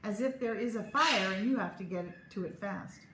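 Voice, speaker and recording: A woman's voice: medium pitch, moderate pace, pushed and bright, lightly acted. A woman reading a children's story, playing a cheerful, fussy mother of a young boy. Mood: kindly. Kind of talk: children's storybook read-aloud. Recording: roomy echo, clear background noise.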